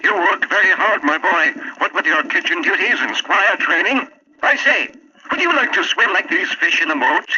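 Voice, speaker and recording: A high, husky old wizard tutor, pompous and kindly by turns. His delivery swoops theatrically, as in a children's storybook drama. The 1960s recording is dull and lo-fi. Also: lively intonation, moderate pace, sharp tone, full character voice. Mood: encouraging.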